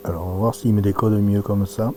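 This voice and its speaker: A man giving an informal live demonstration, talking haltingly as he thinks aloud through each step. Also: lowish voice, steady tone, background noise. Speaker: presenter demonstrating amateur radio software.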